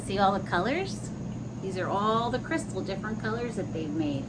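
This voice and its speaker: A woman reading a children's picture book aloud, pausing to explain the pictures in simple words for young listeners. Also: mid-range voice, expressive, heavy background noise.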